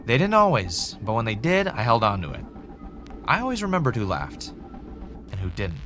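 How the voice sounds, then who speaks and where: An actor playing a bitter, self-justifying former reality show winner turned podcaster, delivering a reflective confessional monologue with dramatic pauses. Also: male voice, lowish voice, lightly acted. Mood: wry, reflective. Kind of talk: confessional first-person scripted audio drama monologue.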